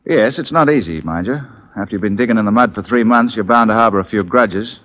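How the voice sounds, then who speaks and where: An actor playing a confident, wry Union captain in a 1940s radio drama, nonchalant and dryly understated. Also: male voice, fairly low pitch, quick pace, lightly acted. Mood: dry, unruffled. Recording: muffled 1940s radio recording.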